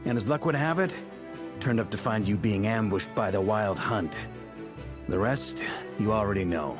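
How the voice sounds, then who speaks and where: A man's voice: lowish voice, moderate pace, over music, lightly acted. A man voicing a seasoned, middle-aged knight commander in a video game, self-assured and wry, with a commanding way of talking.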